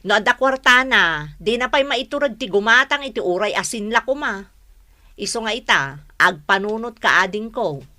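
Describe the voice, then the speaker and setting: A woman's voice: fairly high pitch, expressive, normal speed, sharp tone. A woman playing an exasperated, long-suffering wife in a radio drama, earnest, with an Ilocano accent.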